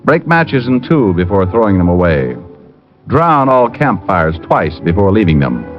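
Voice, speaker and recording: A man's voice: lowish voice, very animated, measured pace, faint music behind. A man reading an earnest public service announcement on a 1950s radio broadcast. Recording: dull lo-fi 1950s radio recording.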